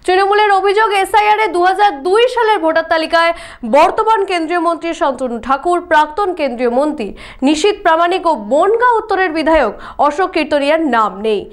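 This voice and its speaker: A woman reading a scripted news report as a presenter. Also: extremely high pitch.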